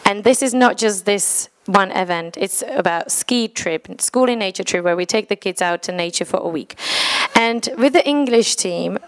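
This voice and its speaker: A woman's voice: mid-range voice, moderate pace. A woman from the Czech Republic, speaking English as a second language with a Czech accent. She addresses a church congregation earnestly, in slightly broken English, and laughs at her own slips.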